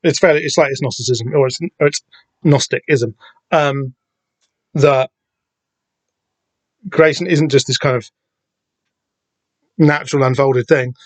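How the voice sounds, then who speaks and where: A man's voice: mid-range voice, fairly even intonation, unhurried, pauses often, no room sound, poor audio. Rambling man, dry close sound. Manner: rambling and digressive, many fillers and self-corrections. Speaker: podcast host. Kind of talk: solo comic book discussion podcast. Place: home room with door open on a summer day.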